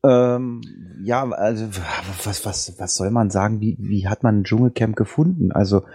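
A man's voice: on the low side, moderate pace, warm tone, husky, dry recording. A man co-hosting a casual podcast chat, teasing the others and quizzing them on pronunciation. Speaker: podcast co-host.

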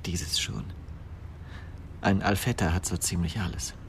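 An actor playing an obsessed, bitter idealist confessing to murder in a radio play, with an intense, fervent delivery. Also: male voice, low-pitched, steady tone, measured pace, in a whisper. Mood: hushed confession. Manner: intense, fervent, swinging from hushed to raised voice. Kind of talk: 1990s German radio play acting.

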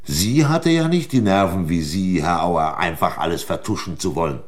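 An actor playing a shrewd, sardonic examining magistrate in a crime radio play, questioning in a pointed, condescending way. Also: male voice, deep voice, expressive. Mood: sardonic, final. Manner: pointed, condescending, deliberate interrogation.

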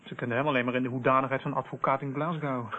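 An actor playing a matter-of-fact, proud police officer in a Dutch radio play, on an old narrow-band recording. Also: male voice, medium pitch, fairly even intonation, fast, natural voice. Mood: explanatory.

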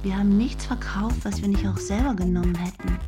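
A woman's voice: mid-range voice, fairly quick, loud music behind the voice, close to an everyday voice. A woman playing a self-assured, unapologetic commune member in a German radio drama. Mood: cool.

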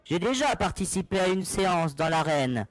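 A man's voice: high voice, very animated, moderate pace, dry recording. A man playing an agitated, plaintive galactic smuggler and exiled captain in an audio drama. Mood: plaintive recounting. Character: hysterical red-haired galactic smuggler, exiled trader captain. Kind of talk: French audio drama acting.

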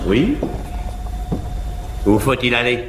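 An actor playing a proud, cynical, defiant libertine nobleman in classical French theatre, declaiming with cool and measured haughtiness. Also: mid-range voice, very animated, a bit bright. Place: large theatre stage before an audience. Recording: echoey 1950s live theatre recording, faint noise.

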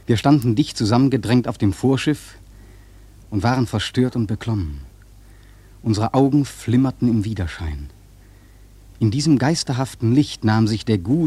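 A man acting a sailor narrator in a radio play, telling his tale in a grave, husky, solemn literary style. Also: medium pitch, a little mellow, no echo. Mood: awed, solemn. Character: male sailor recounting a terrible storm, grave, awed.

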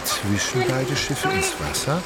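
A man's voice: mid-range voice, wildly swooping pitch, unhurried. A man narrating a literary radio play with dramatic, ornate storytelling. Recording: loud music behind voice.

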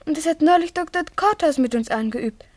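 High-voiced, frightened little schoolgirl, close and dry. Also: animated, fairly quick, dry recording. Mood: fearful, earnest. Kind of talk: German radio play acting.

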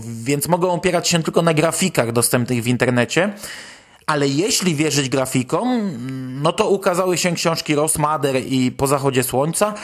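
A Polish man hosting a podcast, commenting on news in a conversational, wry way. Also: on the high side. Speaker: podcast host.

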